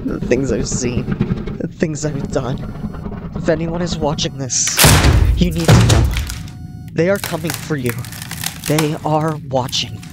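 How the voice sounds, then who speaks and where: An actor playing a frightened, unhinged technician in an audio drama, halting and agitated as he speaks. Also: close to an everyday voice. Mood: grim warning. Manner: halting, agitated, repeats himself. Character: terrified, unhinged radio technician fleeing aliens. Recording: very noisy, rumbling background.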